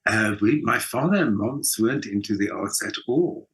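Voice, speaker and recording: Expressive older South African man, slightly husky. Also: on the low side, normal speed. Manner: expressive, often quoting imagined dialogue. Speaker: interview guest, actor and singer. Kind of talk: reflective, anecdotal interview conversation.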